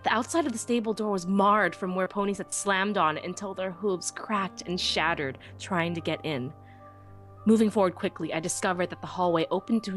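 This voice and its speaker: A woman narrating a scripted first-person story dramatically, as in a fan audiobook. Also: fairly low pitch.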